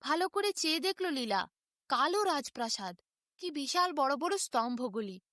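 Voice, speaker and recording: Woman storyteller, bright forceful voice, dry close sound, dramatic fairy-tale narration. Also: sits high, lively intonation, normal speed, no echo.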